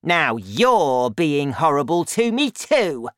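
A woman's voice: deep voice, big pitch swings, slow, fairly bright tone, dry recording, clean studio sound, voice pushed up, full character voice. A woman voicing a bossy, grumbling, greedy old grandmother in a storybook, demanding and scolding. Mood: scolding, indignant.

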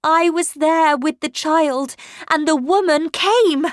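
An anxious young governess in a storybook tale, as a woman narrator plays her, pitched very high and swooping with emphasis. She is insistent and impatient, easily horrified, and urgent in her delivery. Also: animated, slow pace, no room sound, studio quality. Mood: fearful, urgent.

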